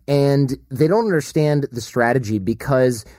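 A man's voice: medium pitch, unhurried, mellow, no echo, studio quality. A man hosting an interview podcast, speaking in a casual, conversational style.

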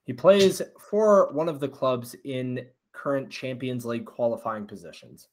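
A man in a relaxed podcast chat, running a quiz segment with an upbeat, encouraging manner. His pitch sits in an ordinary middle range, and he keeps things light as he reads out questions and hands out hints. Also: measured pace. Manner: upbeat, encouraging, gives clues. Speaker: quiz host.

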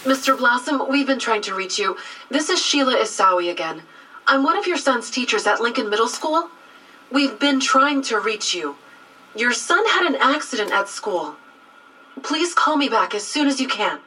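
Worried teacher leaving a voicemail, bright voice, formal but urgent, sounds far off w some background noise. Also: female voice, a little high, expressive, normal speed. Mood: concerned. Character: concerned, formal female middle school teacher leaving voicemail. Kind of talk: audio drama acting, voicemail.

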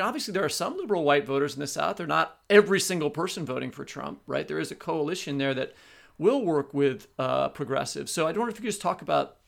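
A man's voice: fairly high pitch, gravelly, fairly bright tone. A man co-hosting a podcast, asking long, rambling questions in a casual way, often stopping and repeating words. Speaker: podcast co-host.

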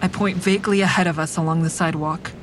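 An actress playing a wry, hard-edged woman who narrates her own story in a frank, deliberate dramatic style. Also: fairly even intonation, lightly acted. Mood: pained restraint. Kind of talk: scripted first-person dramatic narration. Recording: clear rumbling traffic noise behind.

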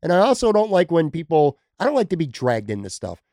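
A man's voice: on the high side, huge pitch swings, quick pace, warm tone, no room sound, clean studio sound. A man hosting a casual sports podcast, chatting and joking with a guest. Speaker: podcast host.

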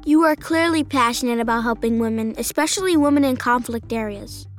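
A woman's voice: on the high side, a little fast, faint music behind. A woman hosting a scripted interview show made for children. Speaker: podcast host.

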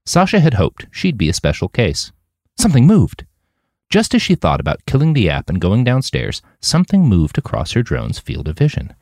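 A man reading scripted fiction narration in an expressive, dramatic storytelling manner. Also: mid-range voice, normal speed, no room sound, clean studio sound. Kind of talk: scripted audiobook-style narration of a dystopian war novel.

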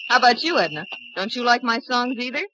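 A woman's voice: a little high, animated, fast talker, background noise, natural voice. A woman playing a brash, wisecracking young city woman with slangy delivery in old-time radio drama style. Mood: breezy, joking. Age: young adult.

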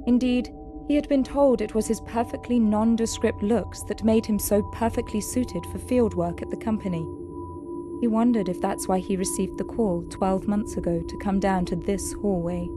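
A woman with a mid-pitched voice narrates a scripted fiction story. Her delivery is measured and descriptive, with music clearly present behind her. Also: fairly even intonation. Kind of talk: scripted audio drama narration.

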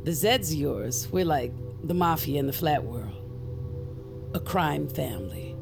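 An actress playing a guarded, earnest middle-aged witch on the run from her crime family, confiding in an intimate audio drama with a gravelly voice. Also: low-pitched, animated, unhurried, full character voice. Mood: bitter, earnest. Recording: music under the voice. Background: music.

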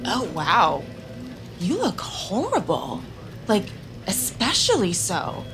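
A woman's voice: medium pitch, wildly swooping pitch, slow pace, music in the background, exaggerated voice. An actress playing a self-absorbed, trendy young female coworker in an audio drama, blunt, drawling and unbothered. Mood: blasé, put-upon. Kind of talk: audio fiction acting.

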